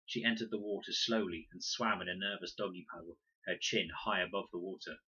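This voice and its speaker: A man reading a children's adventure story aloud in an expressive, dramatic storytelling manner. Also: sits low, fairly quick, husky, dull audio. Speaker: audiobook reader and teacher reading aloud. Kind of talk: animated scripted narration of a children's adventure story.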